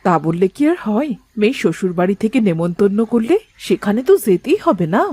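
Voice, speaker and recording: A woman voicing a hospitable, gently bossy older mother-in-law in a cartoon family drama. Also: mid-range voice, very animated, brisk, slightly rough, no echo. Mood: homely. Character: hospitable, kindly older Bengali mother-in-law, head of household.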